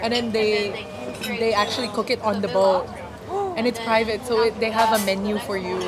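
A woman's voice: medium pitch, moderate pace, over a noisy crowd. A young woman chatting and joking with friends at an outdoor cafe table, laughing often. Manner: lively, laughs a lot, jokes. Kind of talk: casual chat.

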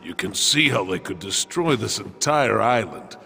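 An actor playing a mercenary's sensible inner voice in a video game, dry, wordy and matter-of-fact. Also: male voice, lowish voice, very animated, moderate pace, vocal fry, long reverb tail.

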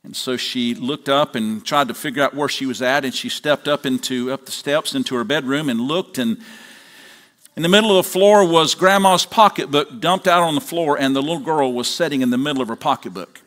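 Middle-aged American pastor, halting and deliberate. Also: male voice, mid-range voice, fairly bright tone. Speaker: lead pastor preaching. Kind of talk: sermon with prayer and storytelling. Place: large church auditorium, on stage at a lectern.

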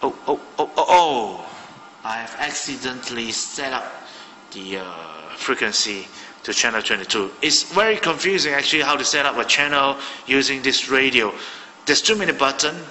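A man with a very bright, sharp voice rambles through a hands-on gadget demo, pausing on fillers as he thinks aloud. He sounds like he's recording on a camera mic in a room with a long, roomy reverb, and faint music sits behind him. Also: medium pitch, expressive. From Malaysia. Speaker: hobbyist radio reviewer. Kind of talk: casual hands-on product demo. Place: indoor room at a desk.

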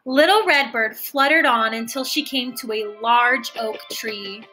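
A young adult woman reading a storybook aloud with careful, expressive storytelling. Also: fairly high pitch, unhurried, soft background music, low-quality recording.

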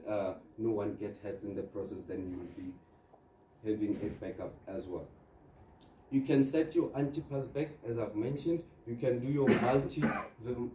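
A man giving an informal training presentation on products, explaining step by step with everyday scenarios. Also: medium pitch. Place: indoor presentation room with large screen. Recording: dark, echoey, distant room recording with noise.

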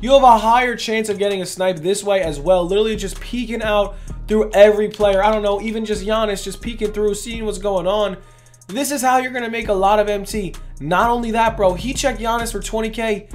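A young man with a voice going hoarse, hosting a casual gaming video in hyped, rambling talk full of "literally" and "bro". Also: high-pitched, steady tone, brisk, faint music behind. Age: young adult. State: losing his voice. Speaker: gaming channel host. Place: indoors at a gaming setup, face cam.

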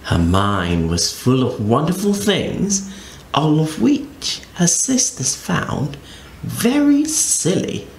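A man reading a children's picture book aloud as a storyteller, in an expressive, very animated way. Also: a little high, takes it slowly. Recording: echoey room with faint ambient noise.

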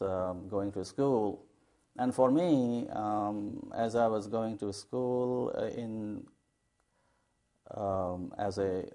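A man from Bangladesh, an English professor and poet, answering questions in a TV studio interview in a measured, thoughtful way with many fillers. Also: on the low side, warm tone. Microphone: lapel.

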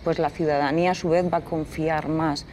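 Earnest woman explaining, slightly low voice. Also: steady tone, brisk, a little mellow, a little hiss or hum. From Castilla-La Mancha, Spain. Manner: earnest, explanatory, many fillers. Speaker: environmental activist guest. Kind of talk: studio interview. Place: TV studio set, leather armchair.

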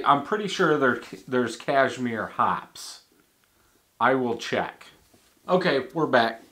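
A man in his thirties giving a casual beer tasting review at a home bar, thinking aloud with halting restarts. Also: mid-range voice, fairly bright tone. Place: home bar in front of a banner.

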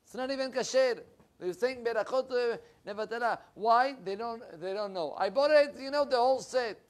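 A young man, a rabbi giving an animated Torah class, his voice swinging between loud bursts and low asides. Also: moderate pace. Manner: animated, with big swings in pitch and loudness and lively hand gestures. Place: wood-panelled room with bookshelves. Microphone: lapel.

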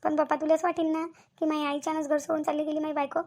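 A high, creaky-voiced middle-aged mother played by a woman from a cartoon family drama. She has a Varhadi Marathi accent and talks in a quick, plaintive stream, fretting and wheedling as she repeats her pleas. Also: little pitch movement, darkish tone. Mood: anxious pleading. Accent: Varhadi Marathi from Vidarbha.

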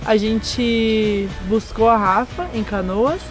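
A young woman in her twenties from southern Brazil with a southern Brazilian accent, casually narrating a road trip, a little hoarse. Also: on the high side, slow pace, soft background music. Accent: southern Brazilian Portuguese. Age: 20s. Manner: friendly, relaxed storytelling with light laughs. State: slightly hoarse after a party. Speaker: travel vlogger. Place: outdoors, garden and hilltop trails.

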